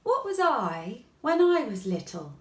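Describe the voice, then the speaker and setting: A woman plays a childlike, curious dog from a bedtime storybook. The delivery is bright-eyed and pleased with each discovery, with swooping, animated pitch. It is unhurried and sounds in an echoey room. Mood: wondering. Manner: inquisitive, pleased with discoveries, expressive. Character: Kipper, a friendly curious childlike male puppy-dog.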